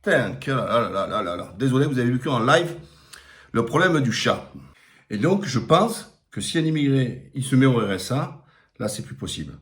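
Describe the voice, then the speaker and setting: A man's voice: medium pitch, very animated, moderate pace, fairly bright tone. Middle-aged man, Marseille accent, blunt live-stream commentator, emphatic with lots of fillers. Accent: Marseille French. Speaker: political commentator. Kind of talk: live-streamed opinion monologue.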